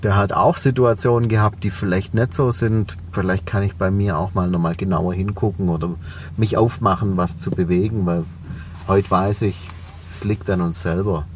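A man's voice: sits low, steady tone, moderate pace, distant mic, slight background noise. A man in his forties with a Swabian German accent, a business owner talking reflectively as an interview guest, with many fillers. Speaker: guest, cleaning company owner. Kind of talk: podcast interview.

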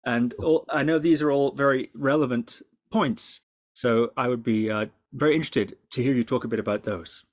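A man hosting an interview podcast, speaking in measured, courteous and formally worded sentences. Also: medium pitch, no echo, clean studio sound. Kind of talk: scripted introduction, then interview questions.

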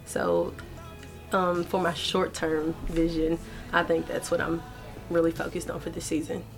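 A woman's voice: lowish voice, flat delivery, a little slow, soft background music. An eighteen-year-old woman, a college freshman, talking earnestly on a radio panel. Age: teens. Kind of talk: casual chat.

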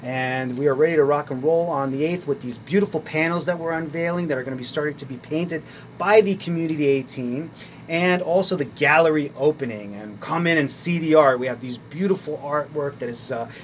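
Guy hyping an arts event, lots of uhs, slightly high voice, faint hum. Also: a little fast. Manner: enthusiastic promoter, many fillers. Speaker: community arts organizer. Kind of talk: casual radio interview.

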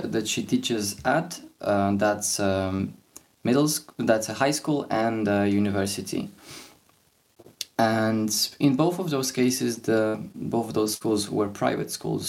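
A man's voice: fairly low pitch, gravelly, darkish tone. A young man from Poland, hosting a podcast discussion and talking hesitantly with many fillers and restarts. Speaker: podcast host.

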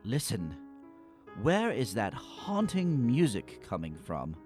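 An actor playing a morose, pompous schoolboy with florid, wordy gothic diction in a comic radio play. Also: male voice, mid-range voice, huge pitch swings, takes it slowly, darkish tone, soft background music. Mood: breezy. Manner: pompous, wordy, hesitant when flustered.

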